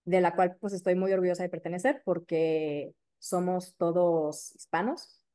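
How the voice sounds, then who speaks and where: A woman in her thirties from Mexico City with a Mexico City accent, a periodontist guest chatting casually and filling pauses with "este" and "eh". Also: lowish voice, little pitch movement, smooth. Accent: Mexico City Spanish. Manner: warm, chatty, many fillers like "este" and "eh". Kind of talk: casual interview chat.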